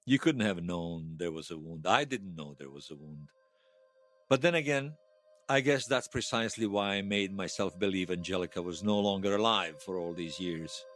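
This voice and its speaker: A man's voice: deep voice, normal speed, a bit bright, soft background music. An actor playing a regretful, bitter man who is hailed as a hero, confessing his shame in an audio drama. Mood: rueful. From Bosnia. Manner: confessional, self-reproaching. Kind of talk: fiction podcast drama acting.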